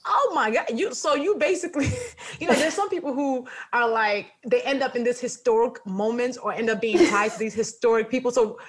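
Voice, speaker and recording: A woman's voice: fairly high pitch, fairly quick. A woman podcast host, from Nigeria, chatting and laughing, acting out what she said as a child. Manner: chatty, laughing, mimics past self. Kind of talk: casual interview chat.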